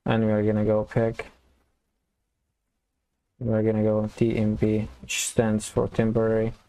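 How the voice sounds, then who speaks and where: A man with a low, gravelly voice talks through a programming lesson as he types, often restarting his phrases. His tone is dark and mellow, slightly hoarse, and his delivery stays level and unhurried. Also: completely flat delivery. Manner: thinks aloud, restarts phrases while typing code. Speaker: programming tutor. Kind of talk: casual screen-recorded coding tutorial.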